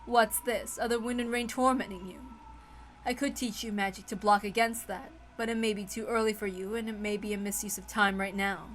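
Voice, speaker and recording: A woman voicing an elegant female witch who instructs in a measured, lofty manner. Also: mid-range voice, steady tone, slight background noise, voice pushed down, natural voice. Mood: lofty, teasing.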